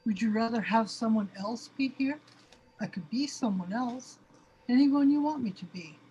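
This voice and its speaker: A woman acts as an imaginary female companion in a radio play. She speaks in a very husky, somewhat high voice, calm and philosophical. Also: moderate pace, highs cut off. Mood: calm, reassuring. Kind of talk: amateur radio play acting.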